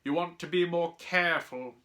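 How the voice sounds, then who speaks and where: A storybook reader voicing a smooth, menacing male schoolmaster with a deliberate, sneering, threatening way of talking. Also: mid-range voice, bright, forceful tone, voice pushed up, natural voice. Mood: smooth, sly.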